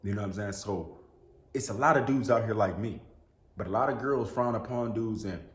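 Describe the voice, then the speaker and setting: A man's voice: on the low side, fairly quick, roomy sound. A man, talking earnestly to camera indoors, repeating "bro", a little sick with sneezes. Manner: earnest and preachy, repeats "you know what I'm saying" and "bro". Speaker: YouTuber. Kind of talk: casual talk to camera.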